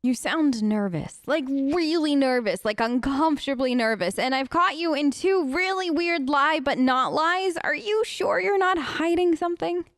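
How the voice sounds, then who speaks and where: A woman's voice: high-pitched, lively intonation, unhurried, hardly any pauses, a bit bright, no echo, studio quality. A woman hosting a role-play video game let's play, chatty and skeptical, improvising casual replies in character. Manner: skeptical, chatty, playfully questioning. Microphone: close, dry microphone.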